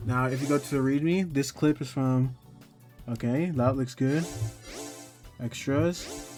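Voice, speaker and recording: A man's voice: medium pitch, takes it slowly, a little mellow, soft background music. Casual man walking through a tutorial. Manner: informal, talking himself through each step. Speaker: tutorial host. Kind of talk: casual screen-recorded software tutorial.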